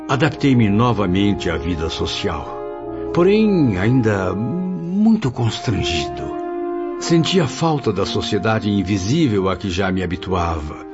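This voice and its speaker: An actor playing a weary, patient, courteous male spirit, a learned writer, in formal radio serial style. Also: fairly low pitch. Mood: earnest. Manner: formal, theatrical, hesitant and considerate. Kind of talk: dramatic radio serial acting. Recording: muffled audio with music underneath.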